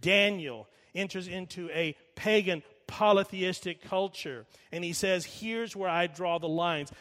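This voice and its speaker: A middle-aged man preaching a sermon, emphatic, slowing and repeating lines for weight and dropping quiet while telling a story. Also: high voice. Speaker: pastor. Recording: long reverb of a large hall.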